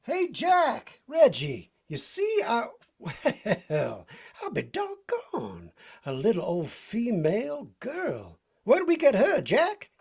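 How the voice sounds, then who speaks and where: Casting: playful, tough Southern sidekick, folksy drawl, rough husky tone, hugely animated pitch, vintage radio style. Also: male voice, slow, heavily acted. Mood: playful, easygoing. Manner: drawling folksy slang with chuckles. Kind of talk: vintage radio drama acting.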